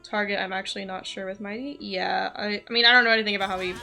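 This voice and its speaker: A young woman livestreams a game in a casual, rambling way. Her voice is bright and mid-pitched with a creaky edge, and faint game music plays behind her. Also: steady tone, quick pace. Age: young adult. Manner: rambling, chatty, giggly. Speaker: game streamer. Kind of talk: casual livestream chat while gaming.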